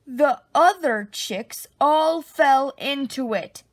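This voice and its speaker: A woman reading a children's picture book aloud, expressively, with drawn-out dramatic emphasis. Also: sits high, no room sound. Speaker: storybook reader.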